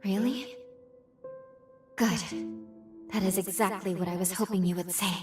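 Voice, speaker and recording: A woman's voice: mid-range voice, fairly even intonation, a little slow, lightly acted. A woman playing an earnest, determined time-traveling companion in a video game, with a dramatic delivery. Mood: grave, hopeful. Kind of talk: video game cutscene acting. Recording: echoing, distant sound with music.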